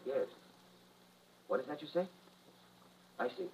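A man's voice: a little high, a little slow, creaky, a little mellow. An actor playing a curt, secretive man on the telephone in a 1950s radio drama. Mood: curt, grim. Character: curt, secretive man taking a phone call. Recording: dull, distant, faintly noisy radio recording.

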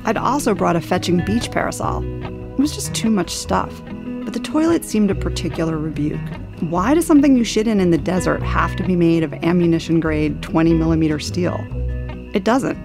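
A woman's voice: on the low side, expressive, normal speed. A middle-aged woman reading her own first-person audiobook as a scripted narration. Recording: guitar music under narration.

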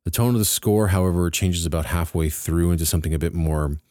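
Deep-voiced man hosting a film-score show. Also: fast talker, dark tone, no echo, studio quality. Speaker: podcast host. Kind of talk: scripted radio-style presentation.

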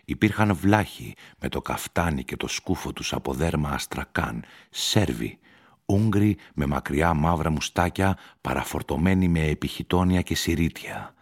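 Very deep-voiced man narrating a story. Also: lively intonation, breathy edge. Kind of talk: literary radio narration of a short story. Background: music.

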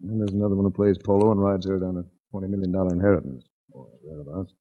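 An actor playing a suave, wry American private investigator in a 1940s radio detective drama, dryly wisecracking and narrating. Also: male voice, sits low, fairly even intonation, racing. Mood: dry, casual. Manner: dry, wisecracking. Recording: muffled 1949 radio recording.

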